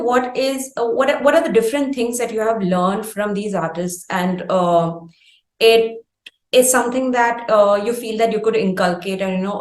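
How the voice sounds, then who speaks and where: Echoey, Indian-accented woman interviewer. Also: mid-range voice. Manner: measured, halting, formally worded questions. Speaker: interviewer, host. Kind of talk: conversational interview. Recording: roomy, echoey sound.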